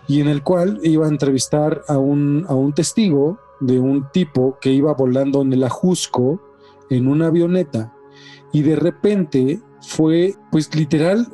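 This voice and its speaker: Male podcast host, Mexican accent, mellow timbre, casual emphatic storyteller, light music bed. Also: a little high. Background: faint music.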